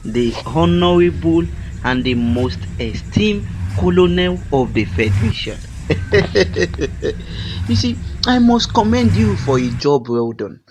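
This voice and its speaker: A man with a Nigerian accent playing a smug, menacing political chief in a radio drama, theatrical and full of drawn-out interjections. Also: fairly high pitch, lively intonation, background noise. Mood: flattering.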